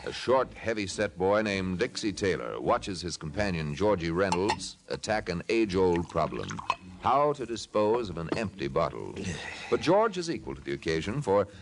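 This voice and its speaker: Animated old-time radio announcer man. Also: deep voice, normal speed, background noise. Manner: polished, emphatic delivery. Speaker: announcer and narrator. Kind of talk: 1950s radio announcing, scripted.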